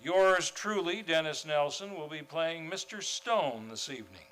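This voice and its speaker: Bright, high-voiced male radio announcer. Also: animated, unhurried, rough recording. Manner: theatrical, grandly formal. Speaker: series host and announcer. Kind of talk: old-time radio announcing. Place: theater stage, before a live audience.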